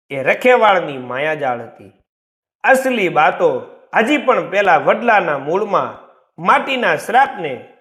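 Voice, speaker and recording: A man narrating a story in a theatrical, deliberate, scripted style. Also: a little high, a bit bright.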